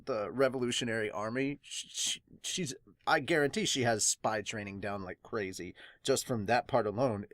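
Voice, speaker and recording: A man's voice: medium pitch, no room sound. Casual man reviewing, dry close sound. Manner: excitable, rambling, laughs often. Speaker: host of a manga review channel. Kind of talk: casual reaction and review talk.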